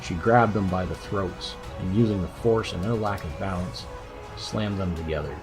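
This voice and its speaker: A man's voice: low voice, normal speed, music in the background. A man giving a scripted narration of a fantasy audiobook as its narrator.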